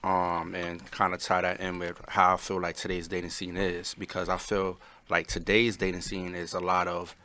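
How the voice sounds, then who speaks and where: Deep-voiced man, bright and earnest. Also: completely flat delivery, moderate pace, few pauses, slight background noise. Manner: earnest, long explanatory sentences. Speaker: panel guest. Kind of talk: casual group discussion.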